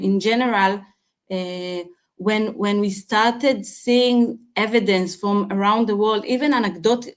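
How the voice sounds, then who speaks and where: A woman from Israel with an Israeli accent, a guest researcher answering interview questions over a video call, pausing often to search for words. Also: mid-range voice.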